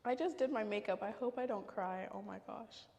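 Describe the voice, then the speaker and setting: A woman's voice: mid-range voice, normal speed, darkish tone, big-room sound. Nervous giggly young woman vlogger. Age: 20s. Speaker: vlogger host. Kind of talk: casual vlog chat.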